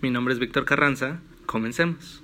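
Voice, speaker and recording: A man's voice: medium pitch, normal speed, background noise. A young man with a Mexican Spanish accent, hosting a casual podcast chat with jokes and banter. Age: young adult. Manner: relaxed, joking, friendly banter. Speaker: podcast host.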